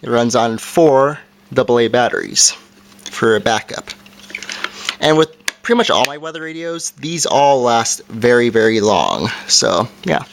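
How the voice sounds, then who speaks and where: A man with a mid-pitched voice presents a homemade product demonstration. He talks informally and plainly, going through each part and setting one step at a time. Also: expressive, a little slow, vocal fry, slight background noise. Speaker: amateur video reviewer. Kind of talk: casual product review and demonstration.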